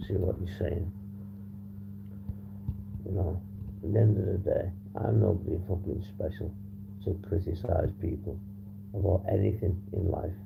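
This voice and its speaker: A man hosting a casual livestream, hoarse and husky, rambling and swearing as he reads the chat. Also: low-pitched, fairly even intonation, measured pace, pauses often, narrow, tinny audio. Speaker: livestream host. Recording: noisy background hum.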